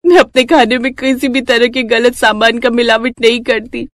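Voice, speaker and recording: Poor street-vendor mother, gentle and patient, higher voice, cartoon story female performer. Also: steady tone, normal speed, no echo, studio quality, lightly acted. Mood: tearful pleading. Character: gentle, caring poor widowed mother running a food cart.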